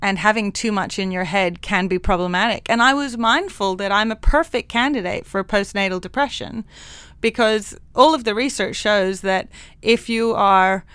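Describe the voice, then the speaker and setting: A woman's voice: mid-range voice, normal speed, clean tone, no echo. Laughing woman psychologist, mixed accent. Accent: mixed international. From Hong Kong. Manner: warm, laughs often, frank. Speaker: clinical psychologist guest. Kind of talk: interview conversation.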